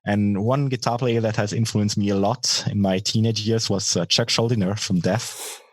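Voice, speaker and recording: A young man with a low, bassy voice that has a slightly husky edge, speaking as an interview guest. He answers thoughtfully, pausing often with fillers, and the sound is close and dry with little room. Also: fairly even intonation, no echo. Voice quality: bass voice. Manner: thoughtful, hesitant with many fillers. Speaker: guitarist and vocalist, guest.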